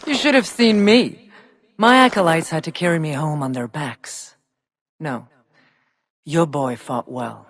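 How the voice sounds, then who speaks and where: An actor voicing a proud, defiant giant lord in a video game, with a theatrical, measured and scornful delivery. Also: male voice, on the high side, fairly bright tone. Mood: amused, proud. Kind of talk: dramatic video game voice acting. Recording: clear background noise.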